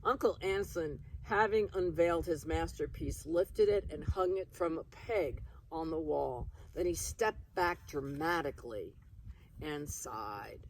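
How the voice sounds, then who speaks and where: A woman reading a children's story aloud in an expressive storytelling style. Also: deep voice, a little slow, recorded from a distance, slight background noise, poor audio. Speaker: reader of a children's book.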